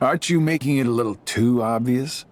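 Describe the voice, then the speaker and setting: A man's voice: medium pitch, a little fast, lightly acted. A man playing a sly middle-aged villain in a video game, taunting in a casual, mocking drawl. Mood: wry needling. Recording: music behind the voice.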